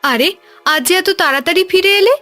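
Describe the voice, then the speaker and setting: Woman acting a high-voiced gentle village wife. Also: normal speed, faint music behind. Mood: surprised. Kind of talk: cartoon voice acting.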